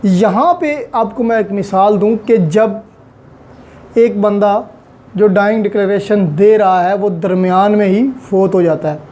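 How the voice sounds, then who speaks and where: A man's voice: high voice, steady tone, a little slow, darkish tone. A man giving an online law lecture, patiently explaining step by step with examples and English legal terms. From Pakistan.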